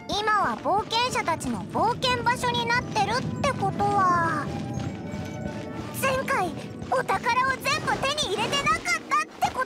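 An actress voicing a tiny, childlike, curious fairy companion in an anime game, squeaky and cute. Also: female voice, full character voice. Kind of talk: Japanese anime game voice acting. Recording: loud game music and effects behind.

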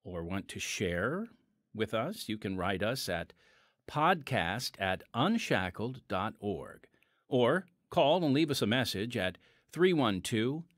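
A man's voice: on the low side, sing-song, slow pace, no room sound. A man hosting and announcing a radio drama podcast in a folksy way, on a close studio mic. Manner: folksy, addresses listeners as folks. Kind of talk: scripted hosting with informal asides.

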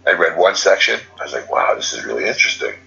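Low rambling man, dull recording. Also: sing-song, fast, vocal fry, bright, forceful tone. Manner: rambling, restarts and self-corrections. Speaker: spiritual teacher. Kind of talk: unscripted spiritual talk.

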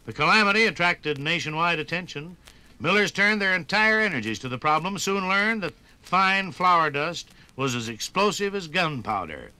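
A man narrating a scripted 1950s radio documentary in an emphatic, measured and dramatic announcer's style, heard on an old broadcast record. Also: on the high side, lively intonation, bright, forceful tone, clean tone.

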